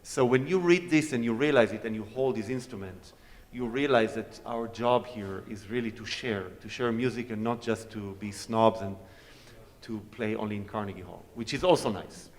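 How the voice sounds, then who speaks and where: A man's voice: on the low side, normal speed. A man whose first language is Hebrew, a guest cellist chatting and joking with a concert audience on stage. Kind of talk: informal talk and audience Q&A on stage. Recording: long concert hall reverb.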